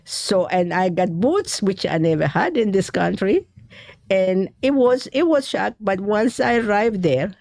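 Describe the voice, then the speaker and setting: A middle-aged woman from Ethiopia, an author being interviewed, telling her story haltingly and searching for words. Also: mid-range voice, animated, vocal fry, darkish tone, no echo, studio quality. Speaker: author guest.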